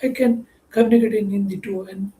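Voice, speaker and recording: A man's voice: sits high, flat delivery, brisk, warm tone. Earnest Indian-accented male coach, echoey room. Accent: Indian English. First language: Marathi. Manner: earnest, repetitive phrasing, often says "could be". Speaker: mindset coach. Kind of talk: motivational teaching talk.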